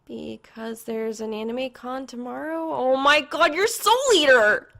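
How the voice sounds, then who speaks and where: A snarky young gamer woman from an audio story, as a female reader plays her. She pitches high and exclaims with teasing, animated bite. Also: unhurried, vocal fry, full character voice. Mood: excited.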